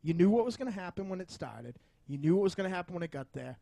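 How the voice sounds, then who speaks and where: A man's voice: sits high, animated, fast talker, creaky, mellow, no echo. A man co-hosting a podcast, arguing about film in an emphatic, combative way with plenty of swearing. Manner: argumentative, emphatic, profane. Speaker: podcast co-host. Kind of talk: heated film review discussion.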